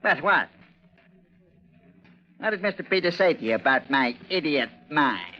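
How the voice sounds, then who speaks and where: An actor in a 1940s radio drama playing a cruel, paranoid ship's captain, menacing, mocking and explosive. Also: male voice, fairly high pitch, very animated, a little slow. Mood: furious. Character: tyrannical, paranoid ship's captain, cruel middle-aged father. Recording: dull, lo-fi 1940s radio recording.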